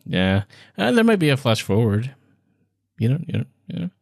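Lively man in casual podcast chat. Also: medium pitch, normal speed, creaky, no echo, clean studio sound. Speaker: podcast co-host.

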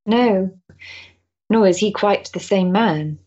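A woman's voice: mid-range voice, takes it slowly, darkish tone, lo-fi sound. An actress playing a sensible, kindly married Victorian woman in a period stage drama, polite and matter-of-fact. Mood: concerned.

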